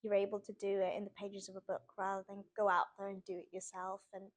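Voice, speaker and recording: A woman's voice: medium pitch, monotone, quick pace. A young woman from Sweden, chatting casually as an author guest in an interview and using lots of "like" fillers.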